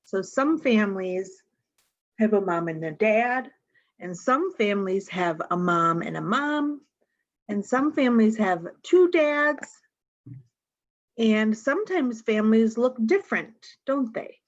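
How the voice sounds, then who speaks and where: Slow-paced woman reading to young children. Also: a little high, animated, lo-fi sound. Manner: addresses children directly with simple questions. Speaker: storytime reader. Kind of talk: reading picture books aloud to young children.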